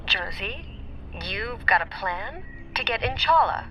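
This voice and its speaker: An actress playing a composed artificial intelligence in a radio drama, speaking in a measured, formal way. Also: female voice, medium pitch, animated, background noise, lightly acted. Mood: doubtful. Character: composed female artificial intelligence, earnest tactical leader. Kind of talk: naturalistic radio drama acting.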